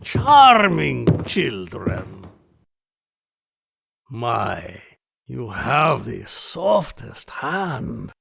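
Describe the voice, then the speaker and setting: Creaky suave gentleman villain, super formal, slow with big swoopy delivery, radio play. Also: medium pitch, huge pitch swings, full character voice. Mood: courtly, theatrical. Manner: courtly, formal, theatrical. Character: suave, menacing gentleman host and hunter. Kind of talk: audio drama acting.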